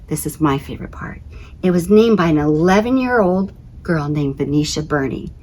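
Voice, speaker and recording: A woman reading aloud as a school librarian in an expressive children's storybook style, with emphatic phrasing. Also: sits low, normal speed.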